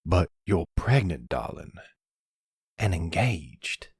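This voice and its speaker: Here is a man playing a caring older patriarch in an audio drama. He speaks slowly and deliberately, with a calm, gentle manner. The voice is deep and husky, recorded close. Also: no room sound, full character voice. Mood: concerned, tender. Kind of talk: ASMR audio roleplay drama.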